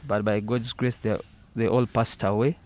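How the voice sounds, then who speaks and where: Soft-spoken Ugandan footballer, earnest. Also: male voice, on the low side, little pitch movement, normal speed. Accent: Ugandan English. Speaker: footballer guest. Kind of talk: casual interview answers.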